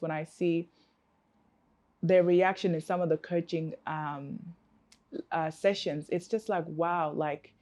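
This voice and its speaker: Low-voiced earnest woman, reflective coach. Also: darkish tone. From Africa. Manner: reflective, earnest, many fillers and restarts. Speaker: coach, interview guest. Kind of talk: conversational interview answers.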